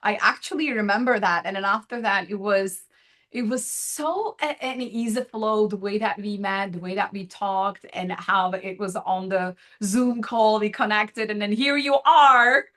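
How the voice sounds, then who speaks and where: A woman's voice: medium pitch, fairly even intonation, unhurried, fairly bright tone. A woman hosting an interview podcast over a video call, gushing and exclamatory as she talks with her guest. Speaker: podcast host, coaching instructor.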